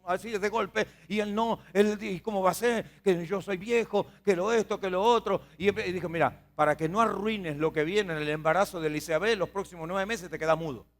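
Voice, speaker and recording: A man's voice: sits high, fairly quick, hardly any pauses. A man with a Rioplatense Spanish accent preaching a sermon as a pastor, conversational and repetitive, putting questions to his listeners. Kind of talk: sermon to a congregation.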